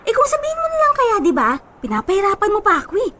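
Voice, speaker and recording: An actress with a Filipino accent playing a supportive, teasing young best friend in a radio drama, chatty and animated. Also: female voice, extremely high pitch, rapid-fire, heavily acted. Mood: teasing, curious.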